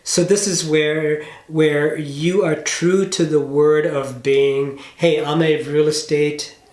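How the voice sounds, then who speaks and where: A man with a slightly high voice speaks in a roomy, echoing space. He coaches in a casual, conversational way, explaining with emphasis and tossing in little check-ins as he goes. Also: steady tone, slow pace, darkish tone. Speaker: business coach. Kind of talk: casual coaching phone call. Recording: roomy, echoey room sound.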